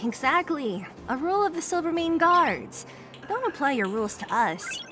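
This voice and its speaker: A woman's voice: high-pitched, sing-song, normal speed, noisy background. An actress voicing a brash, headstrong young woman fighter in a video game, talking with cocky impatience. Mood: defiant. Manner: cocky, dismissive of rules.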